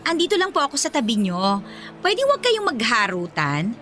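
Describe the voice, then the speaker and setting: Catty young Filipina, highish sharp voice, possessive, mock-polite, haughty, radio drama. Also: female voice, wildly swooping pitch, moderate pace, slight background noise, full character voice.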